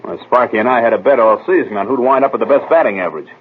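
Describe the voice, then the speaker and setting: An actor playing a brash, defensive baseball player with slangy, uneducated talk in a 1940s radio drama. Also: male voice, medium pitch, very fast, a little hiss or hum, close to an everyday voice. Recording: tinny old radio broadcast.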